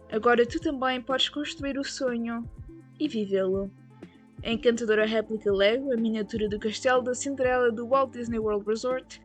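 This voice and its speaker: A woman whose first language is Portuguese, rambling casually and swearing now and then as she chats while building a toy set. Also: fairly high pitch. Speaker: LEGO build vlogger.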